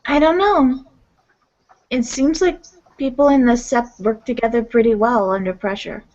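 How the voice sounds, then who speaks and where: A woman's voice: on the high side, moderate pace, a little mellow. A woman talking conversationally in character as a player in a tabletop roleplaying game. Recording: muffled, dull lo-fi audio.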